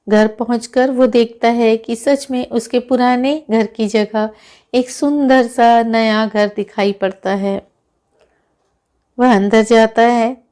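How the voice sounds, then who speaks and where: A woman's voice: a little high, darkish tone. A woman narrating a scripted moral story for children. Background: music.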